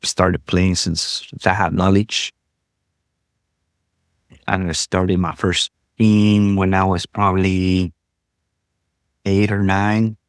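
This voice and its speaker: Deep-voiced man. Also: little pitch movement, clean tone, dry recording, studio quality. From Costa Rica. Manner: unhurried, with small restarts. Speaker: youth soccer coach. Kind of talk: casual interview storytelling.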